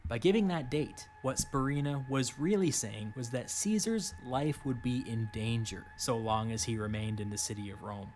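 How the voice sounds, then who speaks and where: A man narrating a scripted history documentary in a dry, wry, measured way. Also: medium pitch, very animated, a little mellow, a little hiss or hum.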